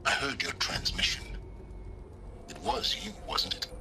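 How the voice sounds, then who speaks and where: An actor playing a shrewd, self-assured scholar-schemer in a video game, with a measured, dry and condescending delivery. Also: male voice, mid-range voice, lively intonation, over music, natural voice. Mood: probing, calculating. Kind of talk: video game dramatic acting.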